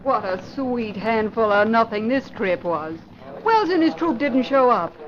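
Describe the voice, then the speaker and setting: An actress playing a sassy, wisecracking newspaper employee in an old radio drama, slangy and brash. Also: female voice, fairly high pitch, animated, unhurried. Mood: wry. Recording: noisy muffled old radio recording.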